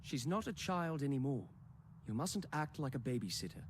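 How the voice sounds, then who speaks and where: A man voicing a composed young leader who speaks formally and calmly. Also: mid-range voice, big-room sound, slight background noise, lightly acted. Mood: advising. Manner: formal, measured.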